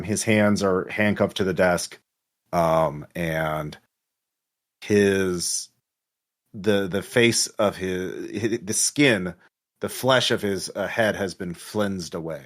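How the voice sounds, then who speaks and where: A man narrating an improvised tabletop game as game master, halting and pausing mid-sentence. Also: deep voice, a bit bright.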